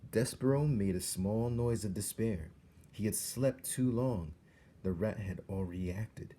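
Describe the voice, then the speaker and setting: A man's voice: lowish voice, unhurried, dark tone, a little hiss or hum. A man reading a storybook aloud as a dramatic narrator. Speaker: storybook reader.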